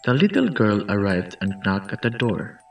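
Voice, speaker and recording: A man's voice: on the low side, sing-song, normal speed, vocal fry. A man narrating a scripted folk tale as a storyteller. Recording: muffled lo-fi distant mic, music behind.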